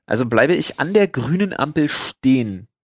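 A man's voice: medium pitch, animated, normal speed, breathy edge, dry recording, studio quality. A man chatting casually as a podcast host, telling stories in a slangy, sweary, mock-exasperated way. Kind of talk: casual chat, storytelling.

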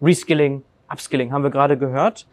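Upbeat host with a dry, close sound. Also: male voice, mid-range voice, fairly even intonation, no echo. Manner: upbeat, quick summarizing questions. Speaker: podcast host. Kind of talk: interview questions.

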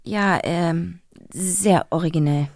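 A woman's voice: on the low side, takes it slowly, a little mellow, no echo, studio quality. A woman voicing a hesitant, uneasy mother with a scared child in a children's radio play.

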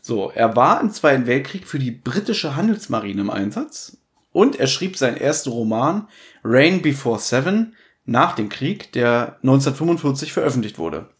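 A man's voice: medium pitch, sing-song, moderate pace. A man chatting casually and jokingly as a podcast co-host, sometimes quoting others or going through his notes. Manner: joking, mimics others in mock quotes, reads out notes.

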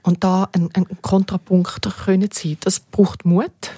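A woman's voice: mid-range voice, flat delivery, unhurried, mellow, breathy edge, no echo. A middle-aged or older woman speaking as an interview guest in a conversational, reflective way. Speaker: interview guest looking back on media work. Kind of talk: conversational reminiscence.

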